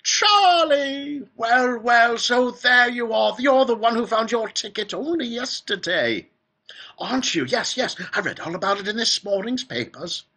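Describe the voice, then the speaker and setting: An eccentric, clever old gentleman from a children's storybook, bubbles over with delight. The voice is high, fluty and bright, leaping about in pitch. He gushes and repeats himself as he welcomes his guests. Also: lively intonation, measured pace, exaggerated voice. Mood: effusive, bustling. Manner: gushing, exclamatory, repeating himself. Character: excitable, clever old male factory owner, effusively welcoming.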